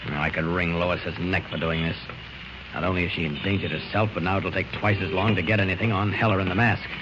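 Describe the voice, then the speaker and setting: An actor playing a determined superhero thinking aloud in 1940s radio style. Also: male voice, low voice, fairly even intonation, natural voice. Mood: resolute, searching. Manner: brisk self-narration, resolute. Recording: muffled, noisy old radio recording.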